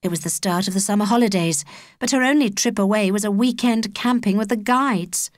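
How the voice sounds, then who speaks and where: A woman's voice: mid-range voice, a little fast, no room sound, clean studio sound. A woman with a British accent reading a children's story as an audiobook narrator.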